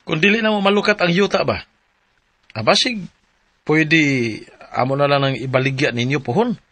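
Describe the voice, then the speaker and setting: A persuasive, friendly Cebuano Filipino buddy in a radio drama. His voice is slightly high, bright and forceful, with a coaxing, familiar manner and a dry studio sound. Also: moderate pace, no room sound. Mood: chummy, coaxing.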